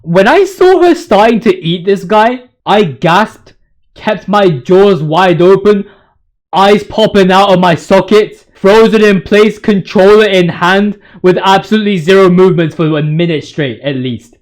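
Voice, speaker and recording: A man's voice: sits high, unhurried. A man giving casual, opinionated gaming commentary as a video host, ranting in slang with emphatic repetition.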